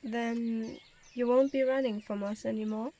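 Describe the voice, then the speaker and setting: A woman's voice: fairly high pitch, steady tone, a little slow, warm tone. A woman playing a teasing young woman.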